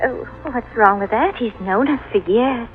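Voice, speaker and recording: An actress playing a sinister murderess who feigns concern, insistent and theatrical, in an old radio drama. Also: female voice, a little high, moderate pace, soft background music, natural voice. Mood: nervous. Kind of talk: 1950s radio drama acting. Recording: dull old radio recording.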